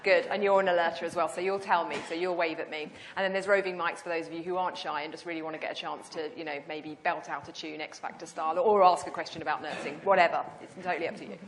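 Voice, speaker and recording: A woman's voice: fairly low pitch, fairly even intonation, fast, few pauses. A British woman chairing a panel debate in front of an audience, chatty and jokey as she introduces the speakers and puts questions to them. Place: lecture hall before an audience.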